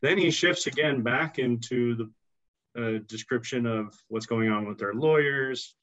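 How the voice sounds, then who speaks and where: A middle-aged man on a video call giving a measured explanation of history, pausing and restarting phrases. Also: medium pitch, a bit bright. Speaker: historian guest. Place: indoor office with bookshelves. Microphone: video call computer mic. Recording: dull lo-fi video call audio.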